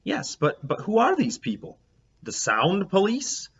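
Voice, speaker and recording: A man's voice: high-pitched, wildly swooping pitch, measured pace, slightly rough, voice pushed up, heavily acted. A man voicing an easygoing, playfully questioning father in a children's storybook. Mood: puzzled. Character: easygoing, teasing, puzzled father of young girl. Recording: dull lo-fi, background noise.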